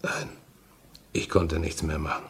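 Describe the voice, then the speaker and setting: An actor playing a grave, shaken train driver in a solemn 1950s radio drama style. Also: male voice, rumbling low, monotone, creaky, a little hiss or hum, heavily acted. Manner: solemn, deliberate.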